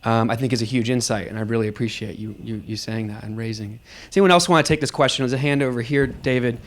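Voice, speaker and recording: Man moderating a discussion, halting delivery. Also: medium pitch. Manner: halting, repeats words as he forms thoughts. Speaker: host, panel discussion moderator. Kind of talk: moderating an audience discussion after a performance. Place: live event venue, before an audience.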